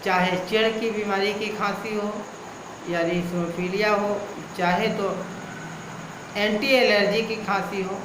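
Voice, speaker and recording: Male Ayurvedic healer, steady repetitive remedy explainer, echoey room with background noise. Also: sits high, slow pace. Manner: repetitive, lists ailments one after another.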